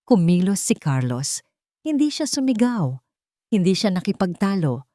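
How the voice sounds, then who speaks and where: A woman with a slightly low voice narrates a scripted romantic drama. The sound is dry and close, and her delivery is that of a storyteller reading prose. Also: mellow, dry recording.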